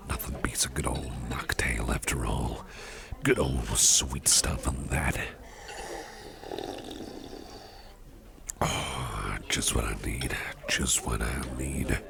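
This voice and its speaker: A man playing an attentive, courteous businessman boss in a soft ASMR roleplay, speaking in a breathy near-whisper with a gentle, reassuring manner. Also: very deep voice, fairly even intonation, a little slow, background noise, heavily acted. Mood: relaxed, attentive.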